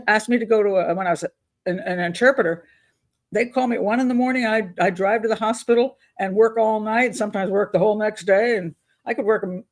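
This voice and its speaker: Chatty, candid older woman giving advice. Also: medium pitch. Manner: chatty, rambling, candid, self-deprecating. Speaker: advice show host on YouTube. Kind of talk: casual advice monologue.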